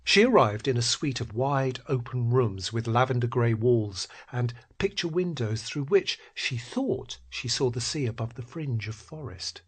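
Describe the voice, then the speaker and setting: A man reading scripted literary fiction in a husky, breathy voice that often drops toward a whisper, with measured, dramatic phrasing. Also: medium pitch. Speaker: narrator. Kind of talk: scripted literary story narration.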